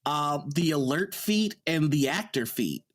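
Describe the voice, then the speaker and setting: Higher-voiced man chatting on a podcast. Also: expressive, measured pace. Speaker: podcast panelist. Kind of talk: casual podcast discussion of tabletop games.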